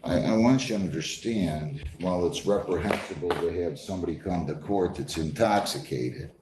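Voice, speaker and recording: Male judge, low mellow voice, stern and interrupting, questioning from the bench in an echoey room. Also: moderate pace. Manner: stern, firm, interrupts and repeats himself. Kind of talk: courtroom questioning and admonishing. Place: courtroom. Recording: echoey courtroom, faint background noise.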